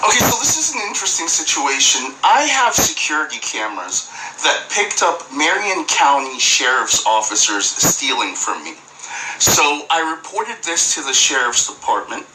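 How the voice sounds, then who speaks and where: A man's voice: medium pitch, sing-song, moderate pace, noisy background. A man speaking insistently and confrontationally, as a caller and commentator arguing his case. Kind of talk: argumentative phone call and commentary.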